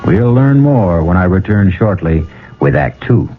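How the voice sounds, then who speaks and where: A man's voice: low-pitched, big pitch swings, unhurried, phone-quality sound. A man hosting a radio drama, narrating theatrically and addressing the listener in a wry, conspiratorial way. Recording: thin old radio recording. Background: music.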